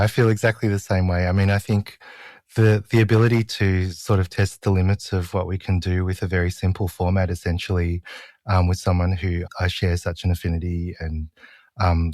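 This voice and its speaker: A man's voice: deep voice, darkish tone, dry recording, clean studio sound. A man who edits a magazine, talking casually and reflectively in an interview. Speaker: magazine editor being interviewed.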